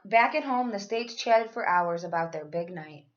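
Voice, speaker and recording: A woman reading a children's picture book aloud like a teacher, in an expressive, animated manner. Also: fairly low pitch, normal speed, a little hiss or hum. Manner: animated, acts out dialogue. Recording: dull, lo-fi sound.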